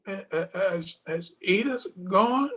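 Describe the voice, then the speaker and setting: An actor playing a feeble, forgetful old husband in a radio play, halting and repeating his words, tender and querulous. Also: high-pitched, expressive, a bit bright, heavily acted. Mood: confused, fretful. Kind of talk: old-time radio drama acting. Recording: home video call with music.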